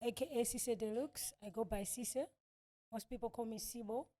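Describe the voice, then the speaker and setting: A woman's voice: on the high side, steady tone, normal speed, mellow. A woman in her thirties with a Southern African accent, telling her own story as an interview guest, repeating phrases for emphasis. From born in South Africa, grew up in Malawi. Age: 30s. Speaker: podcast guest.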